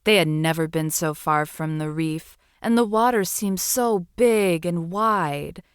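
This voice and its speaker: A woman narrates a children's bedtime story at a very slow, deliberate pace. Her slightly low voice rises and falls expressively, with a soothing storyteller's delivery in a dry, close recording. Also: smooth, no echo.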